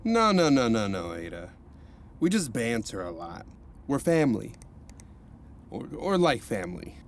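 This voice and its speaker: An actor playing a cheerful male counselor who talks in an animated, rambling way. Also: mid-range voice, unhurried, faint music behind. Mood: cheery. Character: cheerful male rehab counselor, upbeat and chatty.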